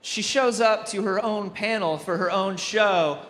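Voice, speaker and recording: Man, forceful bright tone, high pitch, upbeat interviewer, chatty. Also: a little slow, a little hiss or hum.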